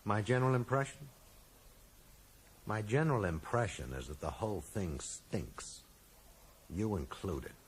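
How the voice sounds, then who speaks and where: An actor playing a guarded American bookseller with a dry, sarcastic manner in a radio drama. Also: male voice, on the low side, expressive, unhurried, long pauses. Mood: sardonic, defiant. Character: wary, sardonic American bookseller caught up in intrigue. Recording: faint hiss on old radio recording.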